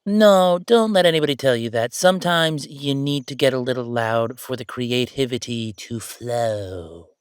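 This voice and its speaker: A man playing a bossy, playful yet sentimental ghost roommate in a conversational roleplay audio drama, with animated, teasing banter. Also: medium pitch, moderate pace, hardly any pauses, smooth, dry recording, clean studio sound, close to an everyday voice. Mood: excited, teasing.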